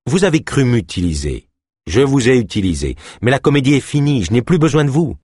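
A contemptuous mayor from an audiobook, acted by a man, scheming and triumphant. The voice is husky and cutting, with menace in every line, recorded dry and close. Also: sits low, big pitch swings, unhurried, darkish tone, no room sound, full character voice. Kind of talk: audiobook character acting.

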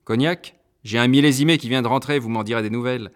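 A salesy male café waiter, put on by a man reading a novel and raised well above the reader's deep natural voice. He talks in an eager, upselling way, keen to push a drink. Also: quick pace, natural voice. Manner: pushy, upselling.

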